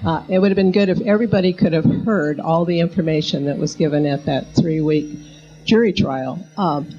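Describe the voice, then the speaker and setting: Female audience speaker, dark mellow tone, dull lo-fi recording, making a personal statement. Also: deep voice, a little fast. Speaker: audience member giving a statement.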